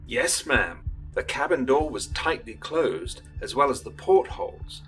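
A man playing a grave, courteous coast guard captain, measured and formal, in old-time radio drama style. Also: mid-range voice, sing-song, a bit bright, soft background music.

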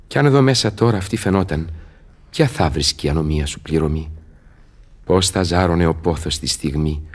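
A tormented scholar in love in a radio play. His voice is very low and husky, and he declaims verse with solemn passion. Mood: anguished. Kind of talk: theatrical radio drama verse acting.

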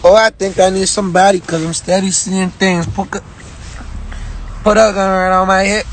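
A young man live-streaming from inside a car, shouting hyped and profane, straining his voice, on a phone held at arm's length. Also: sits high, flat delivery, normal speed, slight background noise. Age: young adult.

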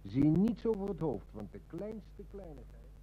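An actor playing a shrewd, commanding police inspector in a Dutch radio play, with an authoritative, probing manner. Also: male voice, a little high, sing-song, a little slow, dark tone, breathy edge, muffled recording, full character voice. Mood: commanding, brisk.

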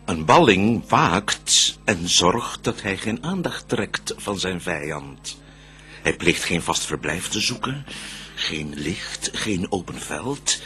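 Sinister demon advisor in an old-style verse radio play, slow n declaiming, noisy hum behind. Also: male voice, low voice, animated, pushed and bright, heavily acted. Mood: secretive, sinister. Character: cunning male demon counsellor, spirit of darkness, calculating. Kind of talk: theatrical verse radio drama.

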